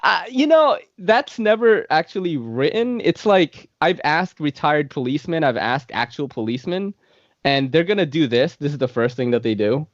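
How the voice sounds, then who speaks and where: A man's voice: on the high side, big pitch swings, normal speed, dry recording, highs cut off. Laid-back thirties man, laughing often. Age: 30s. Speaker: podcast host. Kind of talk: casual podcast chat. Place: New York City.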